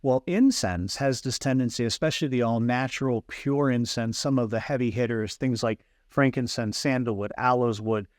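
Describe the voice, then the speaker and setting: A man's voice: medium pitch, normal speed, hardly any pauses, no echo, studio quality. A man guest explaining fragrance hypnosis in an earnest, teacherly way, with frequent restarts, over a video call. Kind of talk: explanatory interview talk.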